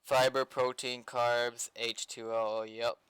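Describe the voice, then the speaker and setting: A man's voice: medium pitch, level tone, slow, fairly bright tone, clear, resonant tone, dry recording. A young man rambling casually on a livestream, often trailing off into mumbled asides. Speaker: streamer and game developer.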